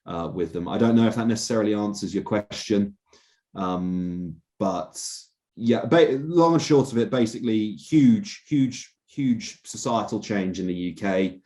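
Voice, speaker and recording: A young man with a low, mellow voice talks through his views as a podcast guest. He restarts his sentences often and pads them with fillers, earnest and thoughtful. The tone is dark and warm rather than bright. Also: a little slow. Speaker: journalist, interview guest. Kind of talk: casual podcast conversation.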